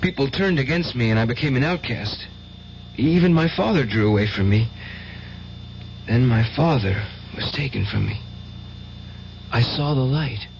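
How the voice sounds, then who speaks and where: A man's voice: medium pitch, natural voice. An actor playing a fervent, tormented young man with psychic powers in an old-time radio drama, earnest and intense. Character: fervent, tormented young male psychic nephew, devoutly religious. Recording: dull, hissy old radio recording.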